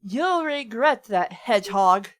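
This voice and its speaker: A woman's voice: medium pitch, expressive, takes it slowly, no room sound. A woman chatting and joking casually as one of a group reading fan fiction. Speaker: reader on a bad fanfiction show.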